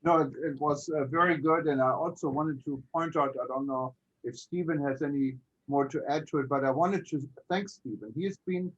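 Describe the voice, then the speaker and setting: A man's voice: on the high side, moderate pace, breathy edge, lo-fi sound. A man hosting an online show, thanking a panelist in casual chat. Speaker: host.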